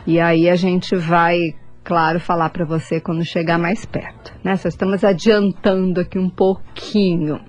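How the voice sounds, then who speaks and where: A woman's voice: fairly low pitch, level tone, measured pace, few pauses, a little mellow, muffled recording. A woman radio host speaking casually and conversationally, often seeking agreement.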